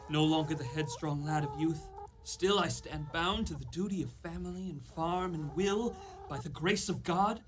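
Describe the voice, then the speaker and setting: A woman's voice: sits low, normal speed, natural voice. A woman playing a grandiose, cowardly young man in a radio play, with florid, theatrical speech. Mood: gallant, resigned. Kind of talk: live radio play acting. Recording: live studio recording, noisy ambience.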